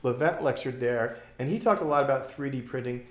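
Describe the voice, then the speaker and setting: A man interviewing a guest, curious and quick, with hesitant "like"s. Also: medium pitch, reverberant. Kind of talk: casual interview.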